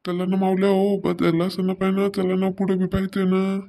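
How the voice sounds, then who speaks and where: A man's voice: sits high, completely flat delivery, moderate pace, mellow, slight background noise. A man with a Varhadi Marathi accent voicing a practical village pilgrim who instructs his group in a cartoon comedy. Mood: urging, informing.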